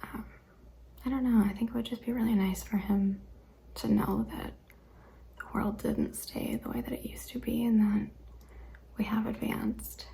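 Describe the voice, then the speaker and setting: A young adult woman hosting an ASMR questionnaire video, speaking mostly in a hesitant whisper with frequent ums and soft laughs. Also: a little high, fairly even intonation, moderate pace, vocal fry, pauses often, rough recording. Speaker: ASMR channel host.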